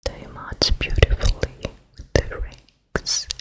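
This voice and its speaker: Casting: woman to play vain evil queen for storybook ASMR, whispered throughout, very drawn-out pace, soft and self-admiring. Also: natural voice. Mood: vain, soothing. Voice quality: breathy whisper. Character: vain wicked fairy-tale queen at her vanity. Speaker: ASMR performer. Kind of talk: ASMR roleplay.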